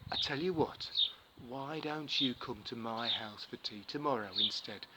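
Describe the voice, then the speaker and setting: A man voicing a gentle, fussily polite male turtle. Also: medium pitch, measured pace, natural voice. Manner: kindly, fussy politeness. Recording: loud background noise.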